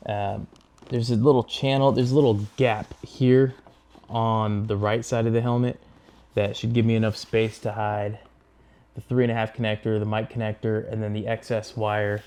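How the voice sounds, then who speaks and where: A man's voice: fairly low pitch, level tone, a little mellow, clean tone. A man narrating a hands-on install tutorial, talking casually and without hurry through each step as he works. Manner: unhurried, talks through each step while working with his hands. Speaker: host of a motorcycle gear install video.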